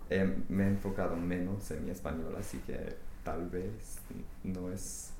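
Young english-speaking guy, deep voice, lots of ums, roomy echo. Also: fairly even intonation, a little slow, mellow. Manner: hesitant, many fillers and restarts. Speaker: interviewee. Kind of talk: research interview. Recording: echoey room, faint hiss.

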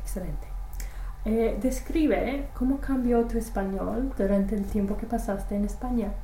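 A woman's voice: a little high, unhurried, warm tone. A woman interviewer asking structured questions and giving brief affirming replies. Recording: echoey room, faint noise.

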